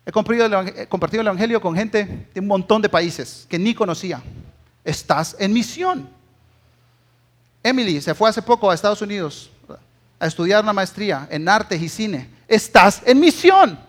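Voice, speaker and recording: A man's voice: high-pitched, expressive, normal speed. A man with a Guatemalan accent preaches as a pastor, emphatic and exhortative, often checking in with short tag questions. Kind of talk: sermon.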